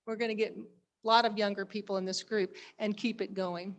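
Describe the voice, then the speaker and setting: A woman's voice: medium pitch, little pitch movement, normal speed. A chatty, enthusiastic woman giving an informal report to members at a live meeting as a society director. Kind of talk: informal spoken presentation to members.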